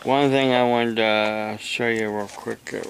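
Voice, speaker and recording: A man from the United States giving an unscripted explanation to camera, speaking haltingly in short phrases with long pauses between them. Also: lowish voice, fairly even intonation, a little hiss or hum, rough recording. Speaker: amateur radio operator and preacher making a video.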